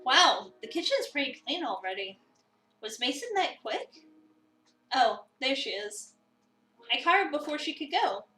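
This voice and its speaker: A woman's voice: high-pitched, big pitch swings, a little slow, long pauses, pushed and bright. A woman doing a playful, theatrical dramatic reading of a visual novel as a streamer. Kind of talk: dramatic reading with casual commentary. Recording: roomy, distant mic, music behind.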